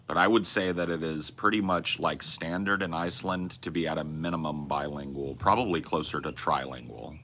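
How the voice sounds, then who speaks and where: A man with a deep, bright and forceful voice chats loosely on a livestream. He rambles and swears as he answers viewers, stumbling and restarting mid-thought, and talks. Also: fairly even intonation, fairly quick, few pauses, slight background noise. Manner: rambling, profane, restarts and self-corrections. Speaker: streamer host. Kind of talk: casual livestream chat reading viewer messages.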